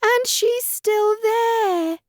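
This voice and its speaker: Woman voicing a squeaky, very high little bear cub. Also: very slow, no echo, clean studio sound, exaggerated voice. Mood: excited. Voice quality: squeaky, shrill. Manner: plaintive, excitable. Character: small excitable baby bear, a squeaky young boy cub. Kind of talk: children's storybook character voice.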